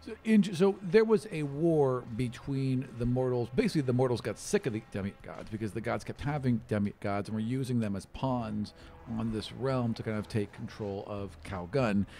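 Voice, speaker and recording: Gravelly man narrating casually. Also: medium pitch, moderate pace, dark tone. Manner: colloquial, conversational explaining. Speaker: game master. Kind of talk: casual tabletop game narration.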